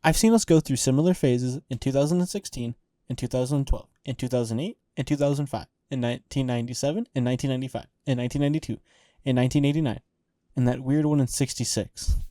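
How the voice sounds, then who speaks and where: Fast, mellow deadpan hero played by a man. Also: mid-range voice, no room sound, studio quality, close to an everyday voice. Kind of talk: audio drama acting.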